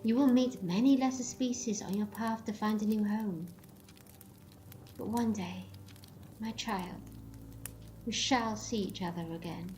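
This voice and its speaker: A woman's voice: medium pitch, measured pace, pauses often, mellow, lightly acted. A woman playing a stern, dignified elder Time Lady in an audio drama, frank yet affectionate. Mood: solemn, tender. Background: faint music.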